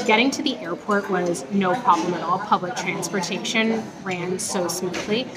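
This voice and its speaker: A woman in her thirties with a slightly hoarse voice from a cold, chatting to a phone camera in an airport lounge. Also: on the low side, normal speed, low-quality recording. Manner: chatty, explaining step by step. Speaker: travel vlogger. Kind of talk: casual travel vlog. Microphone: phone at arm's length. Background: clinking dishes and chatter.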